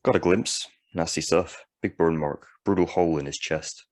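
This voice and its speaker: A man acting in an audio drama as a surly, hardened convict, gruff and terse in his talk. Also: sits low, moderate pace, darkish tone, close to an everyday voice. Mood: surly, indifferent. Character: surly, hardened male long-term prisoner, convicted killer.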